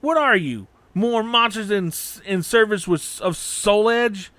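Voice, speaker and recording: A man's voice: high-pitched, unhurried, a bit bright, dry recording, voice pushed up. A man voicing a combative, suspicious samurai warrior who makes stern, declamatory challenges in a fantasy story. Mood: challenging.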